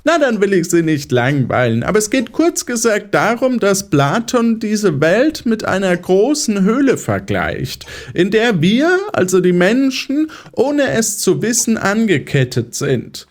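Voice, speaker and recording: A man's voice: sits high, big pitch swings, a little slow, few pauses. A man playing a patronizing, controlling psychiatrist in an audio drama, lecturing in a didactic, condescending way. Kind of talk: German audio drama acting.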